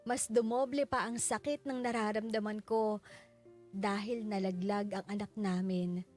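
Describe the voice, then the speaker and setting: A Filipino woman narrating a radio drama as a sorrowful bereaved young wife, in a measured, mournful way. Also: mid-range voice, fairly even intonation, a little mellow, natural voice. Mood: mournful, remorseful. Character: sorrowful bereaved wife recounting her loss. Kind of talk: scripted dramatic first-person narration. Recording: prominent music bed under narration. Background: music.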